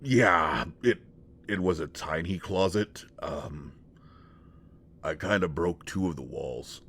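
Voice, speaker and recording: A very low-voiced young man played by a male voice actor, shy and awkward, in an intimate roleplay audio drama. He speaks slowly and haltingly, stammering bashfully as he confides. There is faint noise behind him. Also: fairly bright tone, heavily acted. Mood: bashful, reminiscing. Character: shy, awkward young male shapeshifter confessing to a close friend.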